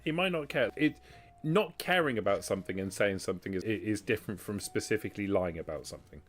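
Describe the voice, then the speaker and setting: A man's voice: on the low side, expressive, fast talker. A man acting as game master, narrating and bantering casually with a dry, teasing manner.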